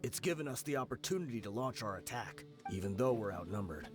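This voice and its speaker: An actor playing a grim, remorseful desert mercenary in a dramatic video game. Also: male voice, medium pitch, lively intonation, fast talker, music in the background, lightly acted. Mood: grave recounting.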